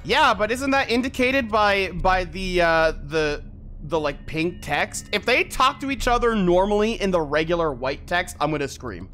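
A streamer giving casual, expressive livestream commentary while playing a game. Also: mid-range voice, moderate pace, a little hiss or hum. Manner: animated, expressive. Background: game music.

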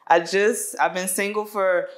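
A woman's voice: mid-range voice, fairly even intonation, slow. Twenties woman talking openly on a lav mic, lots of "like" and "i don't know", laughs a lot, seated in a studio. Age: 20s. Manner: candid, laughs often, many fillers. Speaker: guest. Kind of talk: casual conversation. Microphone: lapel mic.

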